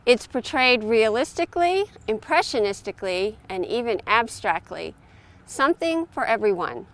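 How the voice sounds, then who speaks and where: An older woman presents an event as a gallery owner. Her voice is a little high, and she speaks from a promotional script. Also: sing-song, normal speed.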